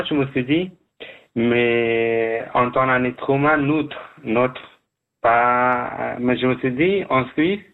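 A man guesting on a radio show, telling his story haltingly with many restarts, heard over a phone line. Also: medium pitch. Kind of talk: halting personal account.

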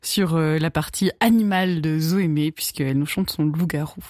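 A woman's voice: sits low, moderate pace. A woman hosting a radio interview, asking friendly, lightly playful questions. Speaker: radio host. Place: radio studio.

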